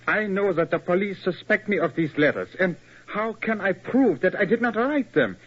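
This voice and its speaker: An anxious, pleading young suspect. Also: male voice, sits high, expressive, normal speed. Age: young adult. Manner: earnest, pleading. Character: anxious young German man under suspicion. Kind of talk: 1940s radio acting. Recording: lo-fi 1940s radio broadcast.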